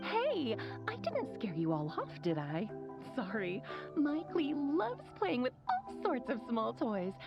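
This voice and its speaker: A playful sorceress mother in a video game, high-voiced and swooping in pitch. She is teasing, chatty and theatrical, slightly dulled in sound over music. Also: wildly swooping pitch, slow, lo-fi sound, exaggerated voice. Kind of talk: English video game voice acting. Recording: band-limited audio with music behind.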